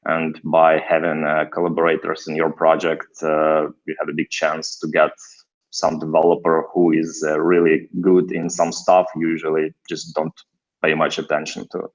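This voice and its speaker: Deep-voiced man with a Ukrainian accent. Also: completely flat delivery, moderate pace, dull audio. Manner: hesitant, many fillers and restarts. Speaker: guest developer. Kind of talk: casual video call interview. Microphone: video call.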